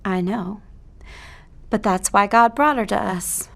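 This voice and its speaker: A woman's voice: medium pitch, slow pace, creaky, fairly bright tone. A woman playing a cruel, jealous middle-aged wife and mother in an audio drama, with a needling, possessive manner. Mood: defensive. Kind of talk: audio fiction acting.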